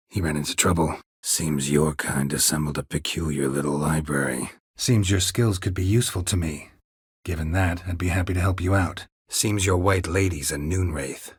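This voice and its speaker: A gruff monster hunter for a game, really gravelly low voice, dry deadpan, super close dry sound. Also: male voice, dry recording, heavily acted. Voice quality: husky, gravelly rasp. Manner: terse, deadpan, understated. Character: gruff, stoic, dry-witted monster hunter, adult man. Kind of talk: video game character dialogue.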